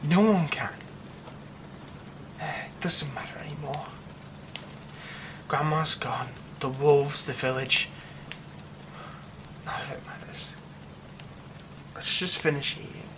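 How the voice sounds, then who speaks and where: A man voicing a grieving, reclusive young man in an ASMR audio roleplay, speaking in soft half-whispers that break into bitter, scornful outbursts. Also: fairly high pitch, takes it slowly, gravelly, long pauses, background noise. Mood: bleak, mocking.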